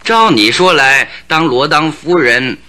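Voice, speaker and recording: An actor playing a shrewd, confident detective in a Chinese radio drama, speaking in a theatrical, probing and needling manner. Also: male voice, fairly high pitch, expressive, measured pace, bright, forceful tone. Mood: needling, amused.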